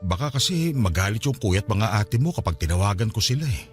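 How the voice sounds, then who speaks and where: A man's voice: on the low side, big pitch swings, a little fast. An actor playing a remorseful father of grown children in a radio drama, hesitant and apologetic as he pleads. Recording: dramatic music behind the voice. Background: music.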